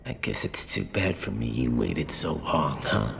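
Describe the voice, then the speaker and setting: Bitter, gravelly young alien son. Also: male voice, a bass voice, very animated, unhurried, background noise, full character voice. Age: young adult. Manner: scornful, volatile. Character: bitter, angry young male alien, resentful estranged son. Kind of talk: video game voice acting.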